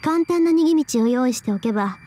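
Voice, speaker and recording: A woman's voice: high voice, expressive, fairly quick, dark tone, a little hiss or hum. Cool, menacing teenage girl mage. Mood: cold, regretful. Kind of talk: visual novel voice acting. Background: game music.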